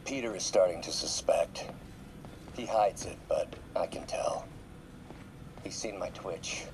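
Deep, hushed troubled scientist. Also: male voice, in a whisper, low-quality recording. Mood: secretive worry. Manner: hushed, slow, brooding. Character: secretive, troubled ailing male scientist. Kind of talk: video game voice acting.

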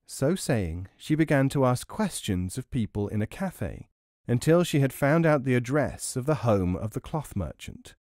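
A male audiobook narrator tells a story in measured, articulate phrases. His delivery is expressive, and the close-mic recording is dry. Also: medium pitch, no room sound.

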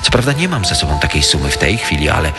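An actor playing a courteous, old-fashioned middle-aged gentleman suitor in a radio drama, speaking without hurry. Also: very deep voice, big pitch swings, music in the background. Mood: courtly, unhurried. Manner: courtly, unhurried.

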